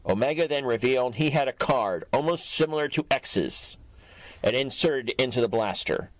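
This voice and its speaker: A man's voice: mid-range voice, lively intonation, moderate pace, dry recording, rough recording. A man reading scripted third-person narration for a dramatic superhero audio story.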